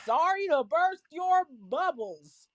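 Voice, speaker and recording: A woman's voice: very high-pitched, animated, slow pace, no echo, lo-fi sound. A woman in a casual panel debate, insistently holding to her opinion.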